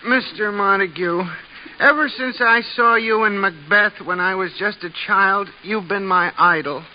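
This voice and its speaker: A dull, faintly hissy vintage radio comedy features a flustered young director. His voice is high and earnest. He pleads and placates with nervous deference. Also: unhurried. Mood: placating. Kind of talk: old-time radio comedy acting. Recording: muffled old radio recording, faint noise.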